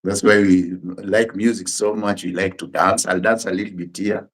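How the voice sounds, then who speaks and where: A middle-aged Kenyan man whose first language is Luo, talking casually as a guest musician and pastor in simple, unhurried sentences that often restart. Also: low-pitched, little pitch movement. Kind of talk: casual interview chat.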